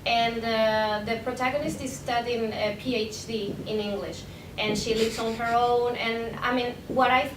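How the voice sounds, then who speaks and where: A young woman academic, answering questions at a conference in an informal way, explaining with examples. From Spain. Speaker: academic presenter, PhD candidate. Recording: roomy, distant mic, noisy rumbling background.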